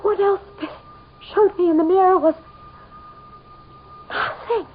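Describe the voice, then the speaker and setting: An actress playing a frightened, haughty society wife in an old-time radio mystery, stammering and melodramatic. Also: female voice, extremely high pitch, fairly even intonation, slow pace. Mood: horrified. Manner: breathy gasps, stammering, melodramatic. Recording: tinny, noisy old radio drama recording.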